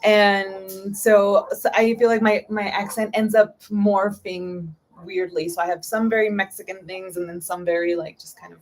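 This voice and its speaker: A woman's voice: medium pitch, flat delivery, moderate pace, hardly any pauses. Young adult woman, stream host, casual and upbeat, bilingual asides. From United States. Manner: chatty, upbeat, mixes in Spanish phrases. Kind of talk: casual live stream chat.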